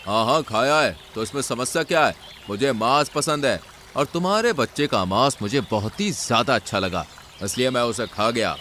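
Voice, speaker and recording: A man's voice: mid-range voice, expressive, normal speed, sharp tone. An arrogant male heron from a cartoon fable, acted by a male narrator, greedy and cruel. He speaks in a boastful, menacing manner with swinging intonation, over faint noise. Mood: defiant.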